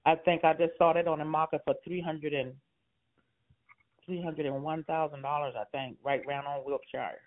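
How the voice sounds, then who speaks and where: A man's voice: fairly high pitch, fairly even intonation, moderate pace. A man in his sixties, a homeowner on a phone call, talking hesitantly with many fillers and short laughs. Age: 60s. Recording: tinny phone line.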